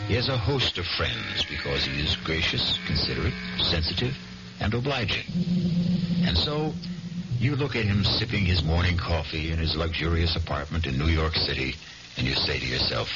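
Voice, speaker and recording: A very deep, creaky-voiced man hosts a radio mystery with deliberate, ominous narration. The old recording is dull, with music behind him. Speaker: host and narrator.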